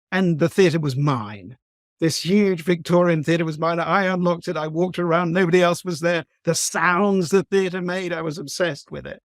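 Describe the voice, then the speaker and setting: A man from the east of England with an English accent, a novelist talking thoughtfully in an interview, pausing with frequent ums and restarts. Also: fairly high pitch, dry recording, studio quality. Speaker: guest novelist. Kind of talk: conversational interview.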